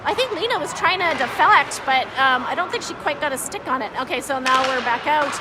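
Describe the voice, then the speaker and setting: A woman giving informal, halting live hockey commentary as an unsure, self-deprecating amateur, with a slightly scratchy voice. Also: sits high, animated. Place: indoor ice hockey arena. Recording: music and crowd noise behind, arena. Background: music and crowd.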